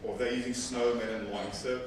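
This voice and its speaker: A man's voice: medium pitch, flat delivery, normal speed, slight background noise. Man talking in an echoey conference hall. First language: Afrikaans. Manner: conversational, self-correcting with repeated words. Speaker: conference presenter. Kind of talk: technical conference talk. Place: large hall with seated audience. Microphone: handheld mic, filmed from far back. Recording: echoey hall from far off with audience.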